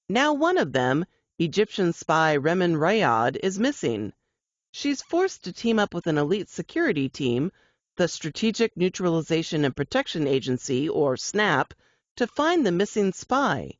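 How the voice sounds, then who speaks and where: A woman reads scripted promotional narration with drama. Her voice is fairly low but moves in pitch in a lively way. The recording is dry and a little dull. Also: no echo. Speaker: narrator of book blurb.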